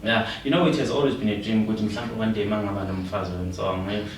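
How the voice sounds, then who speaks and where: A man's voice: fairly low pitch, steady tone, rapid-fire, a little hiss or hum. A South African man hosting a podcast, asking questions in a friendly way and switching between Zulu and English. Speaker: podcast host. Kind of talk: casual interview. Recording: echoey room, mic far off.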